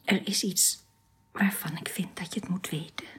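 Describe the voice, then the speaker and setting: An actress playing an earnest, tender, youthful alien woman in a radio drama, with precise, formal phrasing that often turns hushed. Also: on the low side, fairly even intonation, normal speed, breathy edge, in a whisper.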